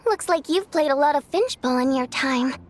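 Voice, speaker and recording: A woman's voice: high voice, expressive, normal speed, a little hiss or hum. A woman playing a capable, matter-of-fact young station manager in a video game, composed and assured. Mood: helpful, confident. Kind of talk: animated video game voice acting. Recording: faint music behind the voice.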